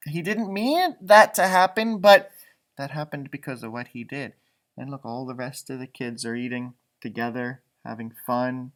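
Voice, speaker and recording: A man reading a storybook aloud to young children, animated and encouraging, with chatty asides. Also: a little high. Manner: encouraging, chatty, stretches words for young listeners.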